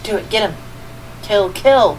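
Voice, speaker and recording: A woman's voice: mid-range voice, lively intonation, measured pace, a little hiss or hum, poor audio. A woman chatting casually on a game stream, teasing her co-player as she comments. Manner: teasing, playfully mocking. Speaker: co-host of a game livestream. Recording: music playing behind the voice. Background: music.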